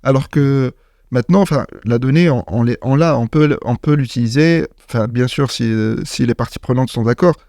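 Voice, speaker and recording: A man's voice: mid-range voice, brisk, a little mellow, dry recording, studio quality. Hesitant man talking startup business. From Morocco. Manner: hesitant, many fillers and restarts. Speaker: startup founder. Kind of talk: casual interview talk.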